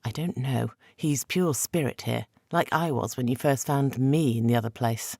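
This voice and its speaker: Male narrator as a firm, wary warrior woman, slightly raised pitch, dry close read. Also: moderate pace, creaky, darkish tone, no echo. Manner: firm, warning. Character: fierce female warrior spirit, mother, wary.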